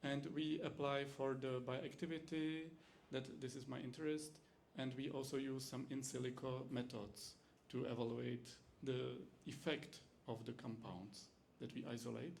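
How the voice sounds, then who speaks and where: A man's voice: mid-range voice, steady tone, measured pace, vocal fry, hardly any pauses, a little mellow, slight background noise. A man from the Czech Republic with a Czech accent, a university lecturer giving a teaching demonstration in English, his second language, with frequent fillers and restarts.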